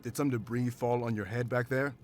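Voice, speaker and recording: An actor playing a protective, pragmatic bodyguard in a visual novel game, speaking in an earnest, measured, commanding way. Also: male voice, mid-range voice, fairly even intonation, lightly acted. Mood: firm, urging. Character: male protective bodyguard, firm, pragmatic, calm under danger.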